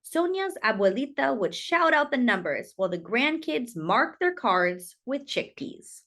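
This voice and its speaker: Animated woman reading a children's story. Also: medium pitch, creaky. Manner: animated, deliberate storytelling with dramatic emphasis. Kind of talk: expressive read-aloud of a children's picture book.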